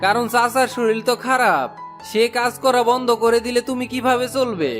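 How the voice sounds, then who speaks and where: A man's voice: very high voice, normal speed, fairly bright tone, soft background music. A man playing a sensible, hardworking young village friend in a cartoon, earnest and advising. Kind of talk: cartoon folk-tale voice acting.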